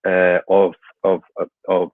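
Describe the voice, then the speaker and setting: A man's voice: low voice, monotone, takes it slowly, no room sound. Man, hesitant with fillers, hay fever, thin phone-like call audio, dry sound. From London, England. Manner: hesitant, many fillers and restarts. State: hay fever, may sneeze. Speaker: guest speaker from an international organisation. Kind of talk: webinar opening remarks.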